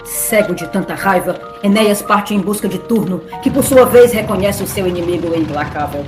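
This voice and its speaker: A woman's voice: medium pitch, moderate pace. A woman with a Brazilian accent giving a scripted, dramatic narration of an epic. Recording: roomy echo, music underneath.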